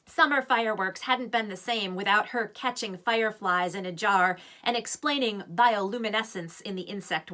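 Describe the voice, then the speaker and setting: A woman author reading her children's novel aloud as expressive scripted narration. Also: on the high side.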